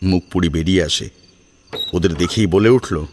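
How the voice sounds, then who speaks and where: A man's voice: sits low, normal speed, darkish tone, slight background noise. A man reading a scripted story as a dramatic narrator. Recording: music behind the voice.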